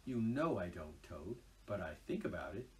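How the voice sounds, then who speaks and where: A man voicing a patient, loyal male water rat who speaks softly and low. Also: big pitch swings, normal speed, recorded from a distance, a little hiss or hum, rough recording. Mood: wistful. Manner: soft, low, wistful. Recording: voice a little off-mic.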